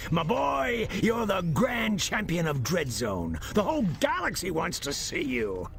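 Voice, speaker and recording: A man's voice: high voice, huge pitch swings, takes it slowly, creaky, a bit bright, soft background music, exaggerated voice. A man playing a greedy, theatrical alien media tycoon villain in a cartoonish video game. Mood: oily flattery. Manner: theatrical showman, gloating and wheedling.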